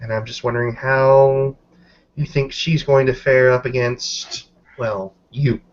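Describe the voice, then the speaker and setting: A man's voice: mid-range voice, steady tone, a little slow, natural voice. A man playing a frank, wry, skeptical female werewolf packmate in a tabletop role-playing game. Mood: wary, frank. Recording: dull lo-fi audio.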